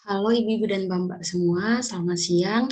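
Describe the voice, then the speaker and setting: A woman's voice: medium pitch, normal speed, a little mellow. A young woman, a university student, introducing herself politely and formally. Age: young adult.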